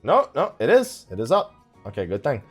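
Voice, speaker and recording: A man's voice: medium pitch, huge pitch swings, normal speed, breathy edge, faint music behind. A man giving casual let's play commentary as a video creator, rambling and thinking aloud, often sidetracking himself. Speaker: gaming video creator and live streamer.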